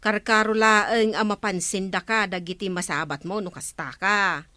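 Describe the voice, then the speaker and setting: A woman's voice: medium pitch, steady tone, normal speed, bright, forceful tone, no room sound, studio quality, lightly acted. An actress with a Filipino accent playing a blunt village woman who scolds in a radio comedy drama. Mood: scolding. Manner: chiding, blunt.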